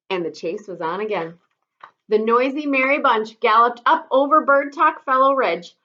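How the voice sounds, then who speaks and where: A woman's voice: high voice, bright, forceful tone. A woman reading a children's story aloud expressively, like a teacher, in slightly dull audio.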